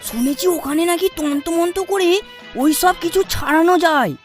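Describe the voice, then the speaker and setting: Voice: extremely high pitch, a little fast. A performer voicing a gossipy, helpful cartoon friend who urges advice in a matter-of-fact way. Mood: advising. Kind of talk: comedy cartoon dubbing. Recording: music behind the voice.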